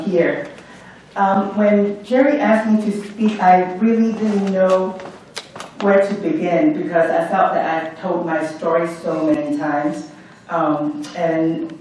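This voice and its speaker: A woman from Hong Kong telling her personal story as a guest speaker at an event, reflective and pausing often with ums. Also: fairly low pitch, darkish tone, slight background noise. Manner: reflective, with frequent ums and restarts. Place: event hall. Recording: echoey hall from far off.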